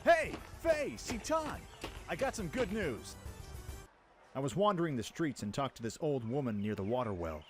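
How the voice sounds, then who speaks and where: An actor playing a brash, cheeky young runaway prince in an audio drama. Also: male voice, fairly high pitch, big pitch swings, moderate pace, soft background music. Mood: excited. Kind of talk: radio-play acting.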